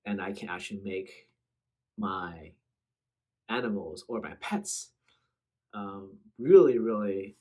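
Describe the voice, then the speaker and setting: A man's voice: on the low side, animated, takes it slowly, long pauses, mellow. A man hosting casual reaction commentary in a slangy, bantering way. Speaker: host.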